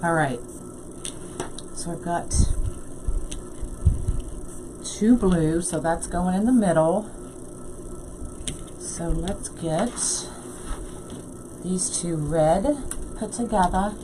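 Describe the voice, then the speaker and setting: A woman's voice: on the low side, slow, pauses often, background noise. A woman hosting a casual live crafting tutorial, chatty and laughing often as she works and reads viewer comments. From USA. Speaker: craft livestream host.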